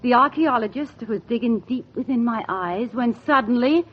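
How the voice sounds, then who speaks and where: Woman playing a wry lovelorn holidaymaker, high voice. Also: normal speed, lightly acted. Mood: wry, wistful. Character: wry, lovelorn single woman on holiday, narrating her hopes. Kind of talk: 1940s radio drama narration and acting. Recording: muffled 1940s radio recording with music.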